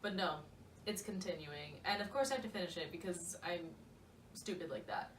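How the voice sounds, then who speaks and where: A woman's voice: fairly low pitch, moderate pace. A young woman chats about books as if with a close friend, gushing and slangy, leaning hard on her words. She sounds a little distant from the microphone in an echoey room, with faint noise underneath. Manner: gushing, slangy, emphatic, playful. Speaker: book vlogger, co-host. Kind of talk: casual chatty book discussion.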